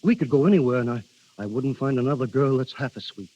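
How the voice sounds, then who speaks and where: A man's voice: medium pitch, fast talker, warm tone, close to an everyday voice. An actor in a 1930s radio advert playing an adoring young husband who sweet-talks his wife. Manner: sweet-talking. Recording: dull vintage 1930s radio recording.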